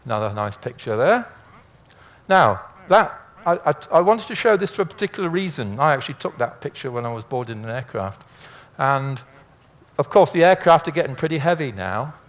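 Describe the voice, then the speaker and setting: A man's voice: medium pitch, sing-song, fairly quick. An older man giving an informal illustrated lecture in a chatty, rambling, anecdotal way with dry humour. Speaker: speaker, former air traffic controller.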